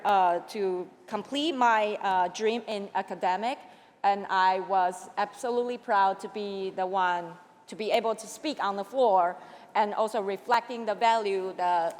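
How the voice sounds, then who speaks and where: Taiwanese-accented woman speaking in an echoing hall. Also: mid-range voice. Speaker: state senator. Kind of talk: prepared floor speech in a legislature. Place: large indoor legislative chamber. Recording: echoey hall with long reverb.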